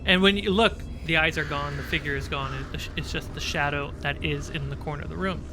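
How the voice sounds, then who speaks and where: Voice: medium pitch, fairly even intonation, fairly quick, noisy background. A game master narrating and refereeing a livestreamed tabletop game in an informal, suspenseful storytelling way. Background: music.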